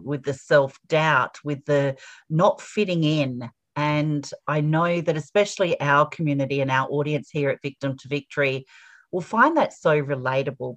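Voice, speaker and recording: A woman hosting an interview podcast, effusive and emphatic in her praise of the guest. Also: deep voice. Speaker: podcast host.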